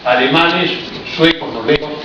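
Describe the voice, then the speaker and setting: A man's voice: fairly high pitch, unhurried, far from the mic. Argentine man lecturing in an echoey hall. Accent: Argentine Spanish. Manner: reflective, explanatory, with ironic asides. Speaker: speaker giving a public talk. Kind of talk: history lecture. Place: cultural hall in Paris. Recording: echoey, muffled, noisy room recording from afar.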